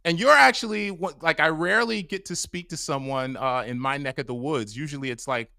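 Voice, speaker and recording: A man's voice: a little high, a little fast, creaky, pushed and bright, smooth, no room sound, clean studio sound. A man hosting a podcast over a video call, chatting casually in a rambling way with many fillers. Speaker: podcast host. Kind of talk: casual interview chat. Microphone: mic on a stand over a video call.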